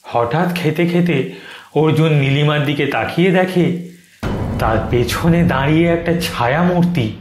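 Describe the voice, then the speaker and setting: A man's voice: fairly high pitch, a little slow. A man narrating a scripted horror story in a dramatic, suspenseful way. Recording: roomy echo. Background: soft music.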